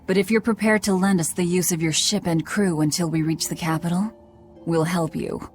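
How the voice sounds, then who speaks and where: A woman's voice: lowish voice, fairly even intonation, normal speed, soft background music, natural voice. An actress playing a stern, hardened young demon woman in a video game, speaking in a blunt, cold, dismissive way. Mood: curt, determined. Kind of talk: English video game voice acting.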